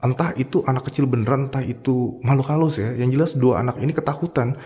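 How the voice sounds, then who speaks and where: A man from Jakarta with a Jakarta accent, casually telling a spooky local story as a ghost-hunting vlogger inside a hospital morgue at night, dropping to a hushed whisper at noises. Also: medium pitch, fairly even intonation, quick pace. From Jakarta, Indonesia.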